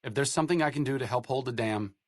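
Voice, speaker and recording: A man's voice: medium pitch, fast, no room sound, natural voice. An actor playing a sardonic, bookish male wasteland doctor with dry, self-deprecating wit in a video game. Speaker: game companion character.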